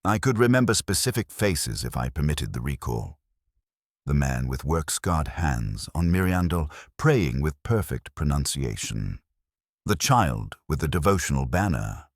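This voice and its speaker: A man giving a solemn, measured, scripted first-person narration of a dramatic story. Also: a bass voice, sing-song, no echo, clean studio sound.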